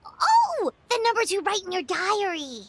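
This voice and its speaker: A woman voicing an excitable, childlike fairy companion in a video game, squeaky and expressive. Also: measured pace, full character voice. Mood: surprised. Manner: expressive, exclamatory, refers to self in third person. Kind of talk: video game character acting.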